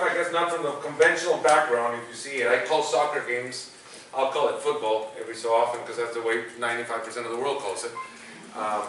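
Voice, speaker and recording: A man's voice: medium pitch, a bit bright. A man from El Salvador in his forties, speaking English with a Salvadoran Spanish accent as a guest speaker giving a self-deprecating, conversational talk to students in a university classroom. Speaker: guest speaker, sports broadcaster. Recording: distant mic, echoey classroom, faint noise.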